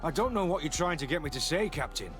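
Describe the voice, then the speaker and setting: A dutiful young soldier, acted by a man, answers stiffly and defensively, always deferential. His voice is a little high for a man, over clear music in a dramatic video game scene. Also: lively intonation, fast, natural voice. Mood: evasive. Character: guarded, dutiful young male soldier recruit.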